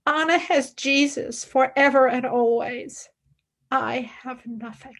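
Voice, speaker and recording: A woman's voice: high voice, takes it slowly, a bit bright, full character voice. An actress playing a fervent young female philosophy student in a radio play, dramatic and intensely devoted. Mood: grieving, anguished. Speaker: actor.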